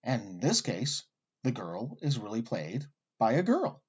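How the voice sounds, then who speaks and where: A man hosting with scripted documentary narration, delivered in a wry, articulate storyteller's way with deliberate emphasis. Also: mid-range voice, wildly swooping pitch, slightly rough, highs cut off. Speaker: host and narrator.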